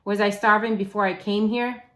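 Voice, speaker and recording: A woman's voice: medium pitch, steady tone, moderate pace, a bit bright, lightly acted. A woman voicing a stubborn, glum young boy from a storybook who gives terse, flippant answers.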